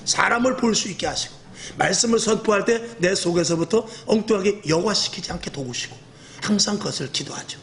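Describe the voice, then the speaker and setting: A middle-aged male pastor with a rough, earthy voice gives a lively evening sermon, acting out quoted speech with self-mocking humor. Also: high-pitched, fairly quick.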